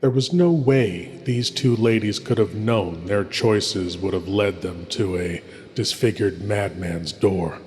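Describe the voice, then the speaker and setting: A sinister lord of shadows, acted by a man hosts a horror show. He narrates very slowly and theatrically in a low, ominous voice. Long reverb surrounds him. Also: a little mellow. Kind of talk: scripted horror anthology narration. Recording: long cavernous reverb with music.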